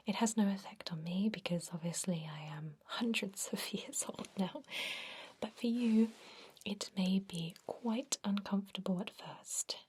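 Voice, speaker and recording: A woman voicing a gentle, reassuring centuries-old vampire doctor in a soothing, breathy whisper, in an ASMR roleplay. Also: medium pitch, a little hiss or hum, close to an everyday voice. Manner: soothing, unhurried, attentive bedside explanations. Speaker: doctor examining a patient.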